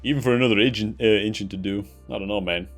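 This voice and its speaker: A man's voice: on the low side, fast. A man hosting a game reaction video with casual, slangy, exclamatory commentary. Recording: music under the voice.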